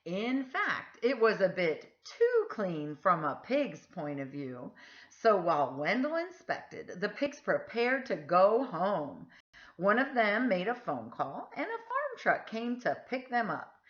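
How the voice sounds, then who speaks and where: A woman reading a picture book aloud to children in an expressive, emphatic storytelling style. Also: medium pitch, muffled recording. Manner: animated, deliberate, emphatic phrasing.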